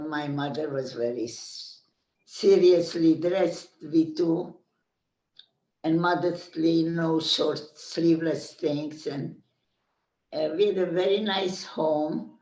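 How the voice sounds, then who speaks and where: Slow older woman. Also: low-pitched, a little mellow, far from the mic. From Carpathian Ruthenia. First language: Hungarian. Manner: slow, searching for words with many pauses. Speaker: interviewee. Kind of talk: oral history interview. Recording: echoey room, speaker off mic.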